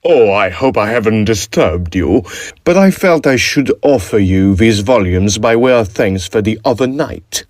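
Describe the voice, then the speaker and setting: An actor playing a suave, nervy Victorian Oxford student with grandiose ambitions and effusively polite, theatrical speech in an audio drama. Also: male voice, fairly low pitch, animated, measured pace, darkish tone, no room sound, studio quality. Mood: politely ingratiating.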